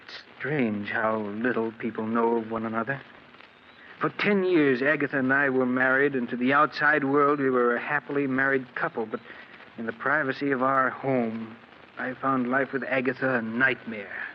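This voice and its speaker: A man's voice: medium pitch, steady tone, normal speed, lightly acted. Weary, earnest henpecked husband played by a man. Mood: grave, confessional. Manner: earnest, melodramatic. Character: weary, henpecked husband, a mild bookkeeper with dark secrets. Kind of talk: 1940s radio drama acting. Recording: muffled old radio recording with faint noise.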